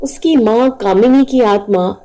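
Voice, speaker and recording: A woman's voice: fairly high pitch, a little slow, warm tone, poor audio. A woman narrating a scripted moral story as the storyteller.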